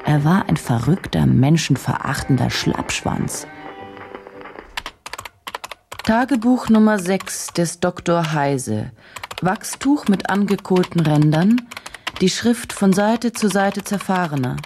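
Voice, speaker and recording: An actress playing a driven, troubled journalist in a German radio drama, speaking with brooding, indignant intensity and deliberate phrasing. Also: female voice, lowish voice, lively intonation, a little mellow, soft background music. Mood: scathing. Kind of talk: German radio drama, dialogue and inner monologue.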